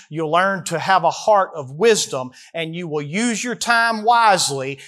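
A man's voice: sits high, fairly bright tone. A man in his early forties with a Southern US accent preaches an expository sermon, folksy and emphatic, putting questions to his listeners. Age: early 40s. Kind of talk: expository sermon, reading verses and explaining them.

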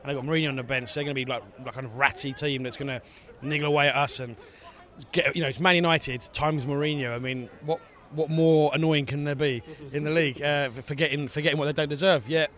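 A man's voice: on the high side, fairly even intonation, fast, a bit bright. A man co-hosting a casual football podcast outdoors, rambling and repeating himself. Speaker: podcast co-host. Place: outdoors by a stadium.

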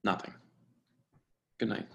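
A man playing an earnest, straitlaced company man in a screenplay read, halting and apologetic. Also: highs cut off, natural voice. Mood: subdued, hesitant.

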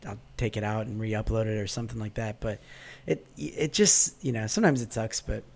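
A man with a raspy voice from a cold, chatting as a vlogger and musician in a loose, joking interview. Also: lowish voice, brisk. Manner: relaxed, rambling, self-mocking humor. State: hoarse from a cold. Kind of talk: casual interview chat. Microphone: remote call.

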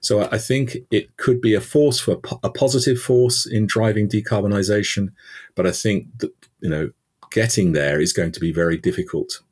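A man's voice: fairly low pitch, moderate pace, darkish tone. A man guest from the UK answering an interview question at length, thoughtful, with many fillers and restarts. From United Kingdom. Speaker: energy expert guest.

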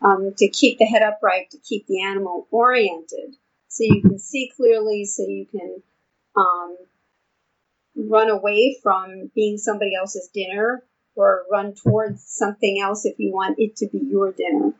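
An older woman with a New York accent, explaining her research in a relaxed interview with frequent ums. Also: medium pitch, unhurried. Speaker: vestibular researcher and therapist. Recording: dull lo-fi audio.

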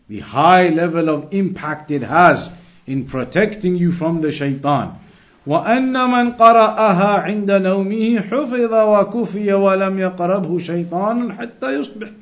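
A man giving a religious lesson as a teacher, reading out texts and explaining them didactically, with key phrases repeated for emphasis. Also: high voice.

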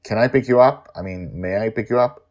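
A man's voice: lowish voice, fairly quick, darkish tone, voice pushed up, lightly acted. A man voicing an eager, helpful young boy in a storybook tale, with blunt, kindly exclamations. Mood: earnest, awkward. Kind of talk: storybook character voice in audio fiction.

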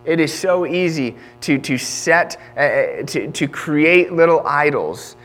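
A man's voice: on the high side, lively intonation, a little slow, a bit bright, faint music behind. A man in his late twenties preaching a sermon in an earnest, conversational way, often restarting his sentences. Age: 20s.

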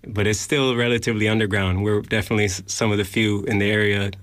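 A man's voice: fairly low pitch, level tone, fast, a bit bright, no room sound. A man, a guest percussionist in a radio studio interview, explaining plainly with small hesitations.

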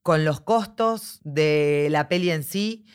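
A woman's voice: deep voice, takes it slowly, clear, resonant tone. A woman with an Argentine Spanish accent, speaking enthusiastically as an interviewee about an immersive dome cinema. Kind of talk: casual interview.

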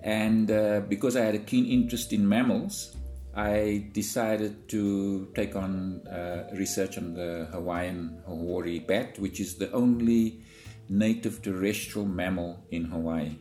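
Low-voiced South African academic. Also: male voice, steady tone, a little slow, mellow, faint music behind. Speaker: zoologist guest. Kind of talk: explanatory interview answers.

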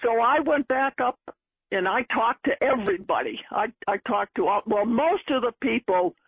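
Old woman recalling, gravelly and high. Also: moderate pace, no room sound. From Boston. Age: 80s. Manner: chatty, laughing at herself. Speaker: oral history interviewee. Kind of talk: storytelling recollection. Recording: tinny phone-like archive recording.

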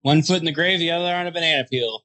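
A man's voice: on the high side, rapid-fire, bright, forceful tone. A man in his thirties, co-hosting a casual podcast and throwing in dry jokes. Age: 30s. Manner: joking, wisecracking. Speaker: podcast co-host. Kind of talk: casual chat.

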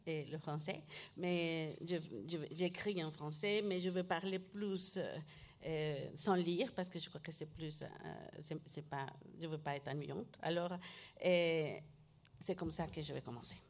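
Woman lecturing in halting French. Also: deep voice. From Mexico. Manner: halting, frequent restarts and self-corrections in a second language. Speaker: guest lecturer, artist. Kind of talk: lecture with slides.

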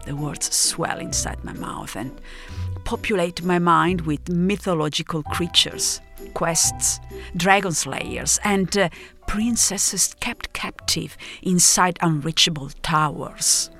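A woman reading a literary first-person travel story, reflective and dramatic, with pauses between phrases. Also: on the low side, bright, forceful tone, faint music behind. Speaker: narrator.

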